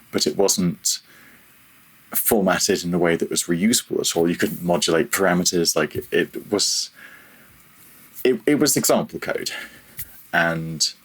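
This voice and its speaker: A man with a deep, somewhat husky voice speaks as an interview guest. He explains things informally, wandering from one thought to the next in a casual conversational style. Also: poor audio. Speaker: podcast guest, audio programmer.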